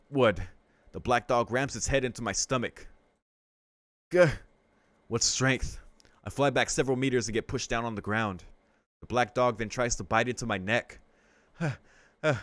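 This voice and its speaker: A man's voice: lowish voice, a bit bright, no room sound. A young man reading a visual novel aloud and reacting in a loose, slangy way. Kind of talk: casual reaction commentary.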